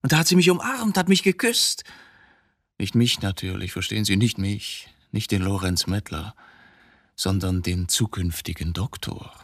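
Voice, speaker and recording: Embittered middle-aged suspect, dry sound. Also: male voice, fairly low pitch, lively intonation, moderate pace, fairly bright tone, no echo. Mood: bitter, confessional. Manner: brooding, agitated, rhetorical. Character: embittered 47-year-old male court reporter, murder suspect. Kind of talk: German radio drama acting.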